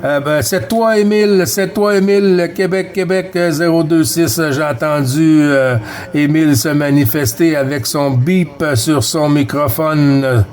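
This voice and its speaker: Quebec french guy on cb radio, kinda high voice, friendly, chatty radio lingo. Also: steady tone, a little slow, a little mellow. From Quebec, Canada. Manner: friendly, courteous sign-offs, radio jargon. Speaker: amateur CB radio operator. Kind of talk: casual radio contact chat.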